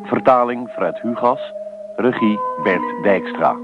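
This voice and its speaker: A man formally announcing the credits of a radio play in a measured, scripted delivery. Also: lowish voice. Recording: tinny old radio recording with loud music. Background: loud eerie music.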